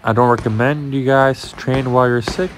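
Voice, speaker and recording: A man's voice: medium pitch, steady tone, normal speed, a little hiss or hum. A young man with a cold talking viewers through his boxing workout in a voice-over, coaching them as guys. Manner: instructive, addresses viewers as guys. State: has a cold, congested. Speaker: amateur boxer and fitness vlogger.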